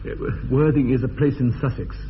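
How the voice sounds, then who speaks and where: An actor playing an earnest, slightly nervous English gentleman in a radio comedy, with an upper-class accent and a polite, hesitant manner. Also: medium pitch, steady tone, a little fast, lightly acted. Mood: embarrassed, earnest. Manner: courteous, hesitant, apologetic. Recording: dull lo-fi radio recording, faint noise.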